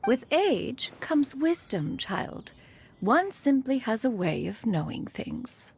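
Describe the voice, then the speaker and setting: A woman's voice: fairly high pitch, very animated, slow, vocal fry, slight background noise, heavily acted. An actress voicing an ancient, dignified female crane spirit who speaks formally and calls herself "one". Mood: lofty, wise. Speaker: mentor. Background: game soundtrack music.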